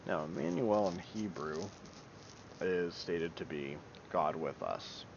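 Gravelly deep-voiced man teaching scripture. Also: slow pace. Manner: hesitant, many ums, apologetic. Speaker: amateur Bible teacher. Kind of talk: informal Bible teaching talk. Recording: dull lo-fi recording with low rumble.

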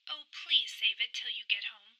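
High-pitched, anxious, pleading wife played by a woman. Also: moderate pace, bright, forceful tone. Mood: worried. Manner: pleading, tender, worried. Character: anxious, devoted wife pleading with her husband. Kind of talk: radio drama acting.

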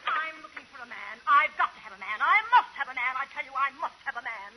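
An actor playing a lovesick, pushy widow who swoons and pleads dramatically on an old radio comedy show. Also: male voice, very high voice, fast, sharp tone, heavily acted. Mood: swooning. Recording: old radio broadcast, noisy.

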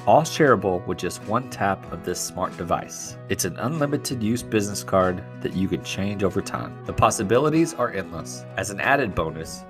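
Male ad announcer over guitar. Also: lowish voice. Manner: smooth promotional delivery. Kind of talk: scripted sponsor read. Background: faint guitar music.

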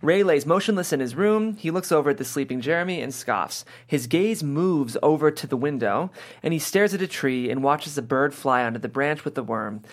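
A man's voice: a little high, animated, normal speed. A man narrating scene headings and stage directions at a script table read.

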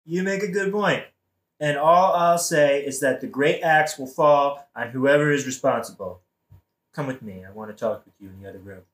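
Man as conniving king, audio play: mid-pitched, casual, conspiratorial, soothingly insincere. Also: normal speed, fairly bright tone, natural voice. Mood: placating. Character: smooth-talking scheming king, falsely sympathetic, placating. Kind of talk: modern-language satirical audio play acting. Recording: roomy echo.

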